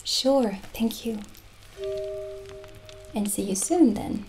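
A middle-aged woman playing a gentle, mystical witch who sells potions, soft-spoken and soothing in an improvised ASMR roleplay. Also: a little high, animated, extremely slow, full character voice. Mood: friendly, unhurried. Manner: soft-spoken, soothing, lapsing into breathy near-whispers. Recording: soft background music. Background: soft music.

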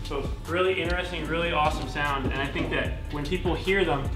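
A man's voice: a little high, steady tone, fast talker, music in the background. A man showing his race car in a workshop, talking casually and repeating words as he goes. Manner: repeats words, restarts, enthusiastic about parts. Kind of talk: casual walkaround chat.

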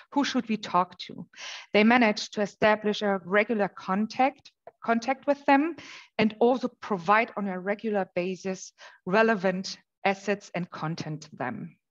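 A woman from Germany with a German accent, giving a webinar presentation in a measured way with frequent pauses. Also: medium pitch, muffled recording. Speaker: marketing manager presenting. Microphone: webcam on a video call.

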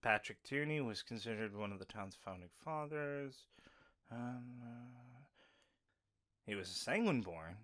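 Casting: actor for reserved male pack member, mid-pitched, low-key, serious, audio roleplay. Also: animated, unhurried, a bit bright. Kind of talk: audio roleplay drama acting.

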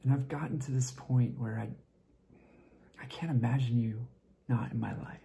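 A man performing a dramatic monologue as a tipsy, lovesick young man confessing to a friend, halting and repetitive, with a creaky voice that often drops toward a whisper. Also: mid-range voice, steady tone, dark tone, lightly acted. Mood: aching, confessing. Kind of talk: dramatic stage monologue rehearsal.

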